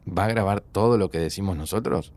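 A man's voice: deep voice, fairly quick, a little mellow. An actor playing a cautious, skeptical young man with an Argentine accent, dry and informal, in a naturalistic audio drama. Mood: wary, resigned. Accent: Argentine Rioplatense. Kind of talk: naturalistic audio fiction acting.

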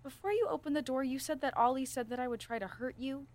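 A woman playing a firm but gentle research scientist in an audio drama, speaking in a measured, formal interviewer's manner. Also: sits high, natural voice. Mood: kindly admonishing. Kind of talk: student audio drama acting.

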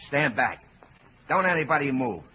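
Man acting, gruff police lieutenant, slangy, bossy, vintage radio drama, dull noisy sound. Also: medium pitch, sing-song, moderate pace, natural voice. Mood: tense, commanding. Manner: curt, barking orders, slangy.

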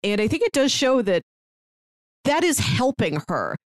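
A woman's voice: high voice, big pitch swings, unhurried, no room sound, studio quality. A woman co-hosting a podcast, casually discussing films and acting out lines as she retells. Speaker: podcast co-host.

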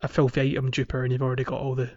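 A man co-hosting a video game podcast, chatting casually and explaining things in a halting way. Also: medium pitch, completely flat delivery, darkish tone, muffled recording. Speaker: podcast co-host.

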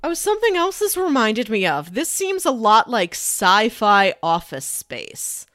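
A woman's voice: fairly high pitch, huge pitch swings, unhurried, fairly bright tone, dry recording, clean studio sound. A woman co-hosting a podcast, chatting casually about films. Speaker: podcast co-host. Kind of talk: casual film review chat.

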